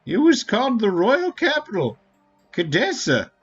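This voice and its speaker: A man puts on a solemn, wise old forest elder from a fantasy game. The delivery is slow and grave, with wide swings of pitch. The sound is dull and lo-fi. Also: wildly swooping pitch, fairly bright tone, slightly rough, exaggerated voice. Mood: solemn, storytelling. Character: ancient, solemn Wingly elder, wise leader of a forest people. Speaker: game streamer. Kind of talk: dramatic read-aloud of fantasy game dialogue. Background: faint game music.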